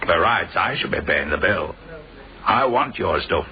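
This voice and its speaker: A man's voice: low-pitched, lively intonation, unhurried. An actor playing a genial, nosy, worldly businessman who teases his companion, in a radio drama. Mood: genial, teasing. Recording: dull, muffled radio drama recording.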